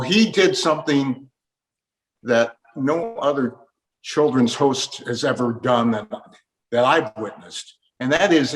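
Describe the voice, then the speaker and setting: A man's voice: mid-range voice, rough recording. A man hosting a casual interview, reminiscing in an earnest, nostalgic way. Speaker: interview host.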